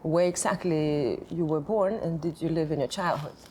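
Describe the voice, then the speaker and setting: A woman's voice: low voice, moderate pace, dark tone, slight background noise, low-quality recording. A woman interviewing an artist, searching for words as she asks questions.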